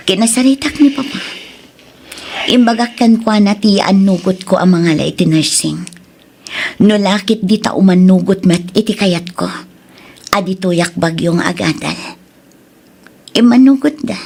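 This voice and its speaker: Woman acting husky breathy young ilocano woman, sorry and tender, pleading in a romance drama, music behind her. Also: mid-range voice, a little mellow. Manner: emotional, pleading, halting. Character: remorseful, tender young woman confessing to former sweetheart. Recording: music bed under the voice.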